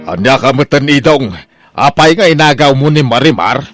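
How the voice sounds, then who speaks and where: A man's voice: mid-range voice, animated, moderate pace, fairly bright tone, dry recording, faint music behind, lightly acted. A man with an Ilocano accent playing an exasperated, scolding old father of grown sons in a comedy radio drama.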